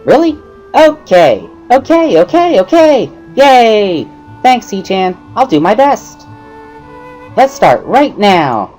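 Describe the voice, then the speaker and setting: Casting: male reader as perky, sing-song schoolgirl for a game story, very high and lively. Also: takes it slowly, faint music behind, full character voice. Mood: overjoyed. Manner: exclamatory, gushing, sing-song. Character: cheerful, bubbly, excitable teenage schoolgirl. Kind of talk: dramatic reading of a visual novel.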